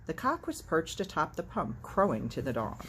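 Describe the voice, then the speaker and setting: A low-voiced woman chats and reads a storybook aloud in a very lively, swooping way. She drops in dry, irreverent asides as she goes. Faint to moderate noise sits behind her. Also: normal speed. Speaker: host reading a children's book aloud. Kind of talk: casual vlog chat and animated storybook read-aloud.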